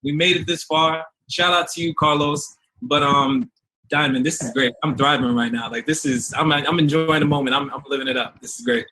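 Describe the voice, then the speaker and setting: A man's voice: a little high, steady tone, fairly quick, sharp tone, low-quality recording. A man from Trinidad and New York, a dating show contestant, talking eagerly and upbeat over a video call.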